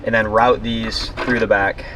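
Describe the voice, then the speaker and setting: A man's voice: fairly low pitch, level tone, unhurried, noisy background. A man hosting a DIY car tutorial, giving plain, informal step-by-step instructions in a casual how-to style.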